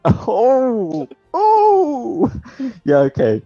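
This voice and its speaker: A man's voice: very high voice, wildly swooping pitch, very slow, warm tone, dry recording, highs cut off, soft background music. A man in his twenties, a player, teasing and playful in casual chat. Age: 20s.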